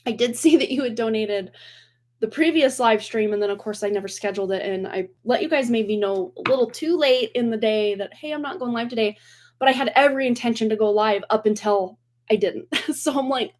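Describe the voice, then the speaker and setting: A woman's voice: fairly high pitch, fairly quick. A woman in her forties hosting a tarot livestream, chatting casually and rambling with frequent laughs and restarts, her voice a little worn from recent voice loss. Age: 40s. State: recovering from lost voice, slightly worn.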